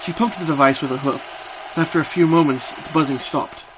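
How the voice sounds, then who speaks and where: A man's voice: on the high side, a little fast, background noise. A man reading scripted story narration as a dramatic narrator.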